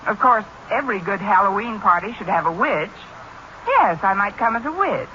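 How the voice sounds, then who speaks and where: Wry, animated lady schoolteacher. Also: mid-range voice, moderate pace. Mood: dry, sardonic. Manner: dry, sardonic wisecracking. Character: wry, quick-witted adult female high school teacher. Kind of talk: 1940s-50s radio comedy acting and narration. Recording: muffled old radio recording, loud noise.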